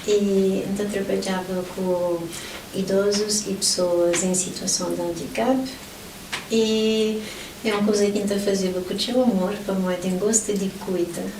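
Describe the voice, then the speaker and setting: A middle-aged woman from Portugal speaks as a guest, recounting personal experience. Her voice sits at a medium pitch. It is picked up from a distance in a roomy space with faint noise. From Portugal (Lisbon). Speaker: interview guest, motivational event organizer. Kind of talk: personal life-story interview.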